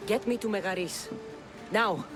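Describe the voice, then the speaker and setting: A woman's voice: medium pitch, normal speed, music in the background, natural voice. A woman playing a tough, dry young mercenary in a video game, terse and matter-of-fact. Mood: urgent. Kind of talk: video game cutscene acting.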